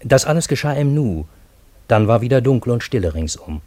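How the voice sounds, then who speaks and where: An actor playing a Parisian man who narrates his own strange adventure in a radio drama, in measured literary storytelling. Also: medium pitch, big pitch swings, dark tone, no room sound. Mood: awed, suspenseful. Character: male Parisian narrator, astonished friend of time traveller.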